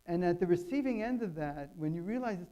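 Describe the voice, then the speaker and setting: A man's voice: high-pitched, animated, brisk, warm tone, highs cut off. A man giving an informal talk as a guest on a stage, feeling his way through phrases with repetition. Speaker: guest speaker. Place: expo stage.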